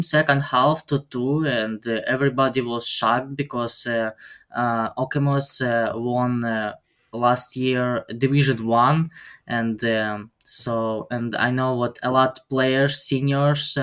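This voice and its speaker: A teenage male interview guest with a Ukrainian accent, raised speaking Russian and Ukrainian. His voice is clear and smooth at a middling pitch. He talks slowly and haltingly, searching for words in broken English. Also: fairly bright tone. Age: teens. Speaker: guest, high school soccer player. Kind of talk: interview answers.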